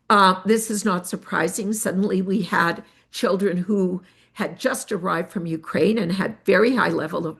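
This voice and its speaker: An older woman researcher giving an academic presentation of study findings, measured and pausing often with fillers. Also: medium pitch, creaky, breathy edge. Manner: measured, with frequent filler pauses.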